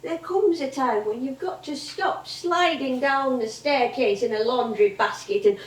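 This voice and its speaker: An old music hall comedienne, played by a woman, effusive and wry, with a high voice and an unhurried pace. Her theatrical delivery rings out with echo across a stage. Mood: wry, resigned. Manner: effusive, wry, given to endearments. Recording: echoey theatre stage from far off.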